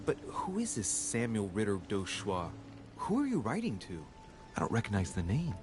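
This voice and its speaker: An actor voicing a young male noble investigator in a video game, measured and musing, often dropping to a hushed voice. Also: on the low side, huge pitch swings, music in the background. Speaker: game protagonist. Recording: game audio with background noise.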